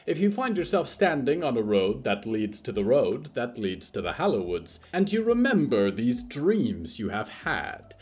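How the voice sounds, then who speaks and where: Man, husky tone, animated intonation, theatrical horror storytelling, formal measured phrasing. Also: mid-range voice. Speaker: narrator of a horror fiction podcast. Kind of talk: dramatic scripted narration.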